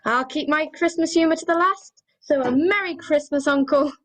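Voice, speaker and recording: A woman's voice: high voice, moderate pace, fairly bright tone. A woman playing a cheerful, good-hearted young man in a radio play, earnest and hearty. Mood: cheery.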